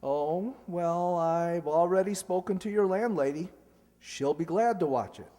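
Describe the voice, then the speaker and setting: A man's voice: high voice, a little slow, warm tone. A man playing a polite, bashful, well-to-do young suitor in old-time radio comedy, hesitant and courteous. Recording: echoey hall with long reverb.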